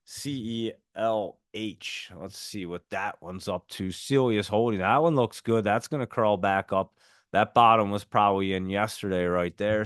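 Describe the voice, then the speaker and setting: A man's voice: lowish voice, clear, resonant tone, no room sound, clean studio sound. A man with a heavy New Jersey accent, casually chatting through stock picks and viewer messages on a live trading stream. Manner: chatty, reads viewer messages and ticker symbols. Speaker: stock trading livestream host. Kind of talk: casual live market chat.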